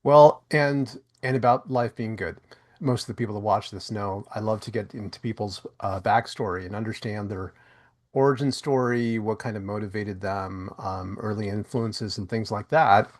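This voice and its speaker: A man's voice: on the low side, moderate pace. Man host asking eager questions. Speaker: podcast host. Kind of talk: interview questions.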